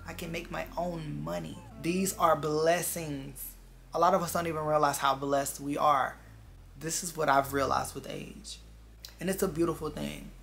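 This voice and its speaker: Vlogger, twenties, gravelly fry with a bright edge, earnest reflective chat to viewers over background music. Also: medium pitch, fairly even intonation, moderate pace. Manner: earnest, confiding, repeats phrases for emphasis.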